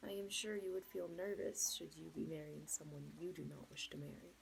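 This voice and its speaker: A woman's voice: low-pitched, a little fast, a little hiss or hum, low-quality recording. A woman playing an anxious, lovelorn young princess bride in a romantic audio roleplay, speaking formally and hesitantly. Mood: lovelorn, distressed. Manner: formal regal phrasing, hesitant, breathy pleading.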